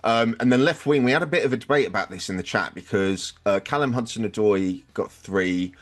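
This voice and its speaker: A man's voice: lowish voice, fairly quick. A man hosting a casual sports podcast, talking through picks in a conversational way. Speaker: podcast host.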